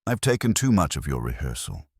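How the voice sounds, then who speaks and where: Wry male gumshoe, done by a narrator for a detective audiobook: clipped, deadpan, extremely low, husky. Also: a little fast, no echo, clean studio sound, exaggerated voice. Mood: deadpan, probing.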